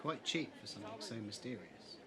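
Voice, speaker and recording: A man's voice: on the low side, animated, fairly quick, bright, forceful tone. A man playing a candid, easygoing, teasing young man in a fan audio drama. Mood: charmed, curious.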